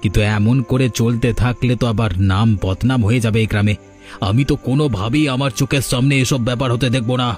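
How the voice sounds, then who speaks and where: A man's voice: mid-range voice, quick pace, a little mellow, close to an everyday voice. A man playing a boastful, menacing village gang boss in a cartoon, spiteful and scheming. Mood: resentful. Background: background music.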